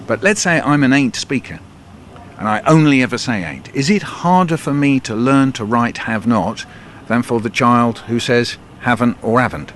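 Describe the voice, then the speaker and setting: A man's voice: mid-range voice, moderate pace, a bit bright, a little hiss or hum. A man hosting a radio discussion, asking probing, provocative questions. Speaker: radio host. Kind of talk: radio interview.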